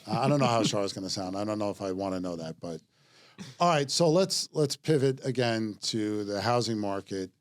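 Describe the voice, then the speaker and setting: A man's voice: fairly low pitch, lively intonation, normal speed. A man hosting a real estate podcast in a casual panel talk, joking easily and reading out market figures. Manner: joking, easygoing, reads out news figures. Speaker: podcast host.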